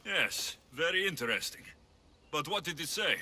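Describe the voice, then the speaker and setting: An actor playing a confident, sardonic ancient Greek mercenary hero in a video game, speaking in a wry, blunt way. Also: male voice, a little high, lively intonation, normal speed, pushed and bright, heavily acted. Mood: impatient. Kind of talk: video game character acting.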